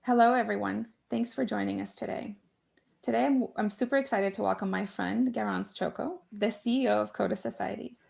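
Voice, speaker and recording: Woman, host, strongly gravelly voice, upbeat, rephrases as she goes, video call. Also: medium pitch, brisk, a little mellow. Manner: upbeat, laughs often, loose rephrasing. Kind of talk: conversational interview.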